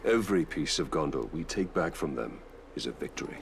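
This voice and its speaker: A man playing a grave, weary ranger warrior in a video game, speaking in a solemn, measured manner. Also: rumbling low, lively intonation, vocal fry, background noise, heavily acted. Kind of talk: dramatic video game acting.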